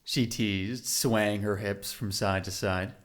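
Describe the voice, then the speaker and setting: A man's voice: on the low side, fairly even intonation, slow. A man narrating a scripted audio drama in a literary storytelling style.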